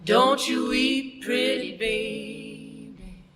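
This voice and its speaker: A woman's voice: on the high side, very slow. A woman singing a folk lullaby on a music recording. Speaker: singer. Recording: played song recording.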